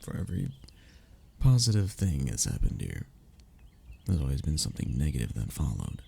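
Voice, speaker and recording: Male actor as wistful young best friend in love, deep gravelly voice, very expressive pitch, halting confessional delivery, intimate audio roleplay. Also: heavily acted. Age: young adult.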